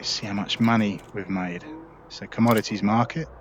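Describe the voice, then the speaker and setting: A man with a lowish voice talking casually through a gaming session, explaining each step as he goes. Heavy background noise sits under his speech. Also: a little fast, lo-fi sound. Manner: relaxed, explains as he plays. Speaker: gaming video creator. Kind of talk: casual gameplay commentary.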